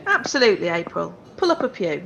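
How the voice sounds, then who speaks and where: A woman's voice: lowish voice, very animated, quick pace, gravelly, fairly bright tone, lo-fi sound, a little hiss or hum, heavily acted. A woman playing a cynical, foul-mouthed hotel worker in an audio drama, blunt and sarcastic. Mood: teasing.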